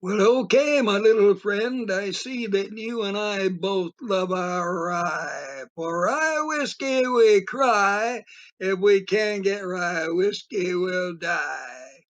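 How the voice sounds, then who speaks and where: A man playing a rambling, easily spooked beachgoer who tells his tale in dramatic, drawn-out audio play narration. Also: high voice, fairly bright tone. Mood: agreeable. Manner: theatrical, drawn-out emphasis.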